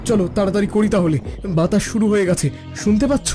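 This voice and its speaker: A man's voice: high voice, fast, music in the background, close to an everyday voice. A man playing a worried younger brother and troubled husband in a cartoon drama, anxious and plaintive. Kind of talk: cartoon family drama acting.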